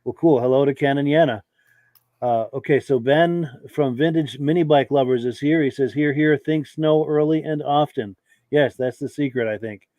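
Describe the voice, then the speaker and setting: A man hosting a live podcast who has a cold and sounds hoarse, reading out viewer comments with short, easygoing reactions. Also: medium pitch, normal speed, no echo. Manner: relaxed, reads comments aloud with brief reactions. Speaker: podcast host.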